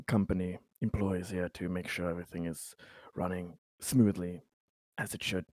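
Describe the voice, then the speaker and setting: A man playing a tabletop role-playing game, improvising in-character dialogue in a friendly, halting way. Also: deep voice, fairly even intonation, darkish tone, dry recording, studio quality.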